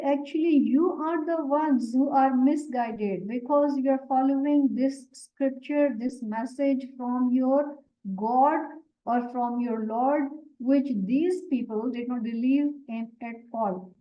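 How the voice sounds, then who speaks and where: A woman whose first language is Urdu explains the meaning of Quran verses word by word, measured and pausing with fillers. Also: high-pitched, muffled recording. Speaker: Quran teacher.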